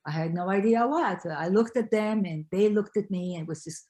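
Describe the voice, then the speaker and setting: An older woman from Belgium talks about her life as the author being interviewed. She speaks reflectively and haltingly, often restarting her sentences as she searches for words. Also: mid-range voice, mellow. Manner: halting, with many restarts and fillers. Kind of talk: reflective conversational storytelling.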